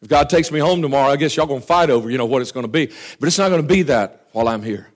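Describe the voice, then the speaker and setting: A man preaching a sermon with fervor, often dropping to quiet asides. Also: medium pitch, animated, rapid-fire. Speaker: pastor. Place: church.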